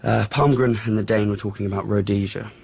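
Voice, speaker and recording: A jaded male journalist in a radio drama, with a low, gravelly and husky voice. He is blunt and grudging, tossing off answers offhandedly. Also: steady tone, a little fast, a little mellow. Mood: cynical, offhand. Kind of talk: naturalistic radio drama acting.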